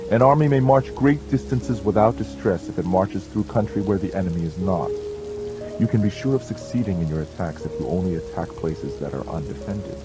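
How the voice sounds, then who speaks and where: A man reading a dramatized audiobook as narrator, in a solemn, measured and declamatory manner. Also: low-pitched. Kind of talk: dramatized scripted narration of an ancient treatise.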